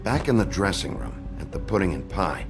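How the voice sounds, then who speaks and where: Very deep gravelly weary detective. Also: male voice, very animated, moderate pace, music in the background. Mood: weary, probing. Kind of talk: video game drama acting.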